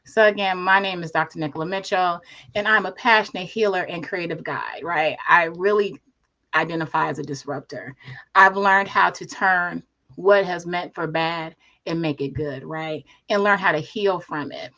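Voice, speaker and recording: A woman giving an online workshop presentation in a soothing, calm manner, often adding "right?" as she talks. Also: on the low side, normal speed, highs cut off. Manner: soothing, repeated "right?" tags.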